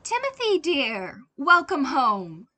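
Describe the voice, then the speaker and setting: A woman's voice: high-pitched, wildly swooping pitch, slow pace, bright, forceful tone, pitched above the performer's own voice, heavily acted. A woman voicing an elegant, haughty mother in a story, prim and lightly teasing as she talks to her young son. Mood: bright, breezy.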